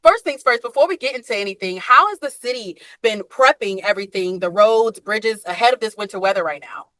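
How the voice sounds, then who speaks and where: An American woman with a bright, crisp-edged voice at a middling pitch conducts a local news interview as a reporter. The sound is dry, with no room echo. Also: brisk. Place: outdoors reporting on snowy roads.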